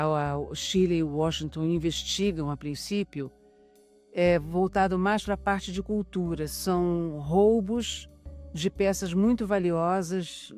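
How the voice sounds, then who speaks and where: A woman's voice: on the low side, mellow. A middle-aged Brazilian woman, an actress and co-writer, speaking in a making-of interview. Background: background music.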